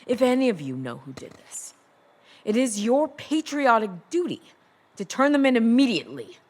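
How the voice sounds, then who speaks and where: An actress playing a cold, commanding officer of an empire in a dramatized audiobook, speaking with deliberate menace. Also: female voice, a little high, very animated. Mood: cold threat.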